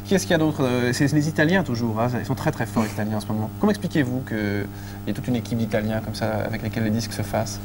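A man in his thirties hosting a studio interview on a TV set, talking on a lapel mic with brisk, chatty asides. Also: medium pitch. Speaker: TV host. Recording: noisy 1980s TV broadcast tape.

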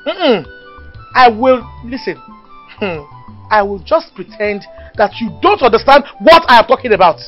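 A woman's voice: on the high side, lively intonation, a little slow, dull audio, faint music behind. A woman playing a mother who insistently questions her daughter. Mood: probing. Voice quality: probing, insistent.

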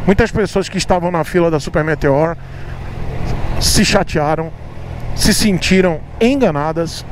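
High-voiced Brazilian motovlogger in traffic. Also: male voice. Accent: Brazilian Portuguese. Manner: chatty, teasing, drawn-out emphasis with chuckles. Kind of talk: riding commentary vlog. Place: outdoors on a motorcycle in city traffic. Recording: helmet mic with road noise. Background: traffic and engine noise.

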